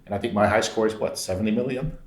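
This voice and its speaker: A man with a lowish voice, recorded in a roomy, echoey space. He is a podcast guest from Belgium, telling stories in an informal way and acting out bits of dialogue. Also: fast. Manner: informal, re-enacts dialogue with frequent "like". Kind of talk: casual anecdote-telling.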